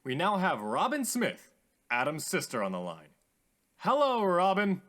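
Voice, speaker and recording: A man playing a courteous, theatrical radio call-in host with formal, drawn-out greetings in a radio drama. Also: sits high, wildly swooping pitch, fairly bright tone, full character voice. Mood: thoughtful.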